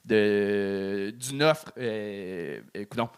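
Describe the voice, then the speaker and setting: A man's voice: on the low side, drawn-out words, clear, resonant tone. A man with a Quebec French accent giving casual feedback as a comedy show host, often searching for words.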